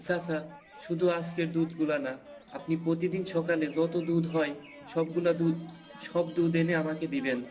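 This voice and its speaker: A man's voice: on the high side, level tone, moderate pace, darkish tone, faint music behind, natural voice. A man playing an eager tea-shop owner in a cartoon folk tale.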